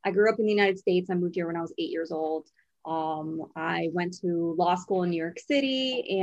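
A woman in her thirties from Brazil, a money coach and podcast guest, telling her story in an animated, chatty way and laughing easily. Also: mid-range voice, no room sound. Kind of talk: casual interview storytelling. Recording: dull, slightly lo-fi sound.

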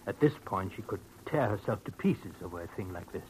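An actor playing a kindly, fatherly doctor in a vintage radio drama, gentle and reassuring. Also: fairly low pitch, animated, fairly quick, natural voice, low-quality recording. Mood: soothing, grave. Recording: old radio recording, faint noise.

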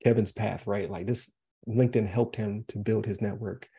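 A man's voice: on the low side, fairly even intonation, normal speed, creaky, dark tone, slightly rough. An American man from Buffalo, New York, hosting a podcast interview in a casual, chatty, rambling way. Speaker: podcast host.

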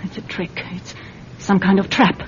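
A woman playing an anxious, high-voiced immigrant mother. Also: level tone, normal speed, lightly acted. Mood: suspicious. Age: adult. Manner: earnest, wary, pleading. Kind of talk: old-time radio drama acting. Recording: dull, noisy old radio recording.

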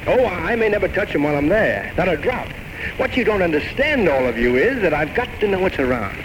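Alcoolique théâtral et éloquent, voix très modulée. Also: male voice, high voice, sing-song, a little fast. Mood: defensive, wry. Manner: florid, mock-grand, poetic delivery. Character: eloquent, theatrical alcoholic man, charming and desperate. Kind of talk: old-time radio drama acting. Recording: noisy vintage radio recording with music.

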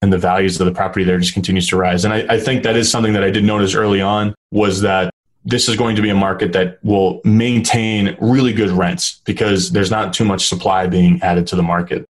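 A young man with a deep, low voice talks through his ideas in a relaxed interview. He hedges and restarts often, pausing on fillers as he thinks. He grew up in New Hampshire. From New Hampshire, USA. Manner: thoughtful, hedging with fillers and restarts. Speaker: real estate investor guest. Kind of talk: casual interview talk.

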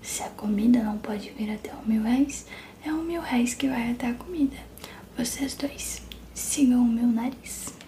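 A woman's voice: on the high side, moderate pace, dark tone, a little hiss or hum, close to an everyday voice. A woman whispering as a wry ghost clown. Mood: bossy. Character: ghostly clown's talking nose, playful and wry. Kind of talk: whispered storybook reading.

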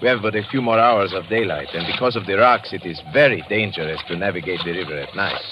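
An actor playing a polite, self-sacrificing river guide with a Spanish accent and formal English, in an old radio drama. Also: male voice, sits low, quick pace, muffled recording, close to an everyday voice. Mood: calm, warning. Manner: formal, deferential, uncontracted English. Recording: noisy old radio recording.

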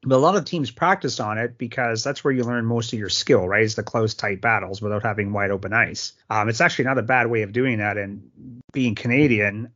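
A man's voice: on the low side, brisk, a bit bright, dull audio. A man co-hosting a hockey podcast, chatty and rambling as he tells stories. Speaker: podcast co-host. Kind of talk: casual hockey podcast chat.

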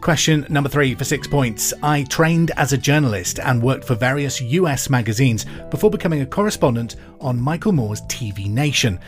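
Male quiz host, reads questions twice, clear delivery, faint music under. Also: medium pitch, lively intonation, moderate pace, few pauses. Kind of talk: pub quiz podcast hosting.

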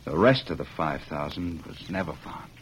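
An actor playing a wronged young cowboy ex-convict in a vintage radio western, speaking plainly and earnestly. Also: male voice, a bass voice, expressive, normal speed, slightly rough, heavily acted. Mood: bitter, earnest. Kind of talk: 1940s-50s radio western acting. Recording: muffled, hissy old radio recording.

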